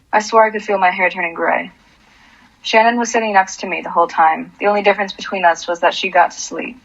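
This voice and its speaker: A woman's voice: fairly low pitch, lo-fi sound. A woman playing a bitter, desperate grieving mother in an anguished, confessional radio drama performance. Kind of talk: radio drama acting with first-person monologue.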